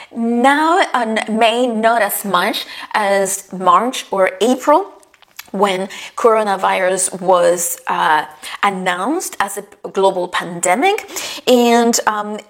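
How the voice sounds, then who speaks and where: A woman from Chile talking to her viewers in a casual vlog, explaining travel matters step by step with frequent fillers. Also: mid-range voice. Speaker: travel vlogger.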